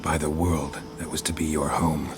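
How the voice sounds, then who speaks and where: An actor playing a gruff, stoic monster hunter in a video game, with a gravelly voice and a terse, deadpan delivery. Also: male voice, normal speed, over loud music. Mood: solemn. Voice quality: low, gravelly.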